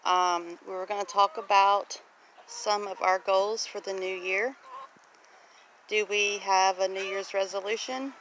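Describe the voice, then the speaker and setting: A woman's voice: mid-range voice, fairly even intonation, a little slow, poor audio. A woman of about fifty chatting casually as a homestead vlog host, reflective and plain-spoken. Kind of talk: casual walk-and-talk vlog. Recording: loud outdoor noise, clicks, honking geese.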